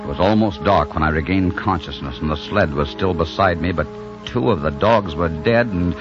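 An actor playing a rugged, defiant romantic hero and narrator in a 1940s radio drama, intense and dramatic. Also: male voice, deep voice, moderate pace, natural voice. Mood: grim, dramatic. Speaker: leading man and narrator. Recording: dull 1940s radio recording, music behind.

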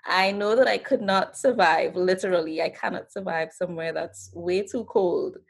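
A young woman in her twenties with a Trinidadian accent, telling her story as an artist guest in an unhurried, thoughtful way. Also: on the low side, vocal fry, husky. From Trinidad and Tobago. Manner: relaxed, thoughtful storytelling with fillers. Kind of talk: casual interview.

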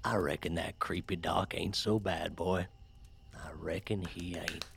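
Gravelly, folksy rustic. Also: male voice, sits low, takes it slowly, fairly bright tone, heavily acted. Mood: muttering, musing. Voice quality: gravelly, raspy. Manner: folksy, rambling to himself. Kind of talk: audio drama acting.

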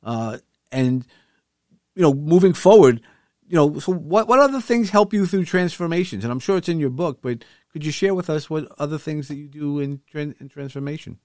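A man's voice: fairly high pitch, lively intonation, normal speed, darkish tone, no echo, clean studio sound. A man interviewing a guest, affirming her answers and asking long questions with many restarts.

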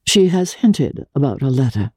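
A woman voicing a worldly, melancholy young countess, deliberate and gently ironic, in a dramatic reading. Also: very deep voice, sing-song, slightly rough, no room sound, heavily acted. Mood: wry, reflective.

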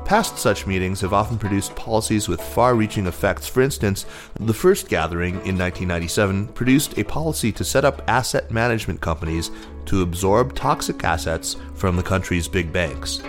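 A man with a low-pitched voice presents a business news programme. His delivery is measured and articulate, like a prepared host. Soft music sits faintly behind him. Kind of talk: scripted news reading and interviewing.

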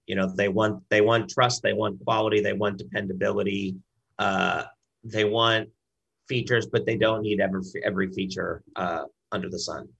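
Low, gravelly-voiced man in an interview. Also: fairly even intonation, a bit bright, highs cut off. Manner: halting, repeats words as he explains. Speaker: brand director, interview guest. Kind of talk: conversational business interview.

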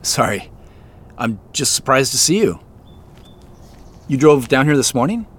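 An actor playing an uncertain, awkward man in a naturalistic audio drama, with hesitant, understated delivery and a husky voice. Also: mid-range voice, very animated, a little slow, a little hiss or hum. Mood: awkward, reflective. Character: uncertain, awkward, subdued man returning to his past.

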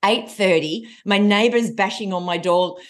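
Lively woman in her forties. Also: mid-range voice, normal speed. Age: 40s. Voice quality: loud voice. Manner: lively storyteller. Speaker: coach and trainer. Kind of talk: podcast interview.

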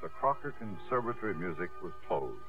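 An actor narrating a 1950s radio drama in a scripted, dramatic storytelling style. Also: male voice, deep voice, big pitch swings, fairly quick. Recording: tinny old radio broadcast, music behind.

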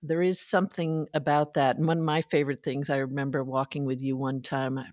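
A woman co-hosting a walking podcast, speaking thoughtfully and softly and searching for words. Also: extremely low pitch, little pitch movement, fairly quick, dry recording, studio quality. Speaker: podcast co-host. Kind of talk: reflective conversation.

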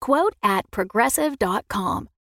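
A woman's voice: medium pitch, expressive, unhurried, fairly bright tone, no echo, studio quality. A woman announcer giving a scripted advertisement read.

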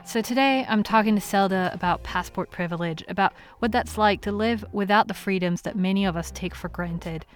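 A woman hosting a podcast opens with a lively scripted introduction in a mid-range voice, then moves into playful, curious questions. Faint music plays softly underneath her. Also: mid-range voice, fairly quick, hardly any pauses. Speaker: podcast host.

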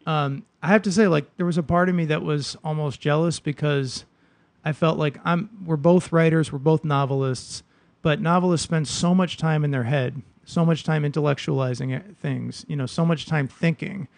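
A man's voice: a little high, fairly even intonation, moderate pace, creaky, dry recording. A man in his thirties hosting an advice podcast, chatty and loose, with lots of fillers. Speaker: podcast host. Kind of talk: casual conversational interviewing.

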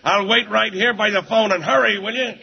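A man's voice: high voice, fairly even intonation, a little fast, gravelly, dull audio, slight background noise. An actor playing an impatient male newspaper rewrite man in 1940s radio acting, clipped and demanding.

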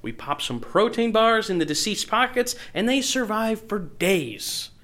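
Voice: medium pitch, big pitch swings, unhurried, fairly bright tone. An actor playing a cocky, flippant scam artist in an audio drama, talking in a breezy, smug, salesman-like way. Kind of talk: scripted audio drama acting.

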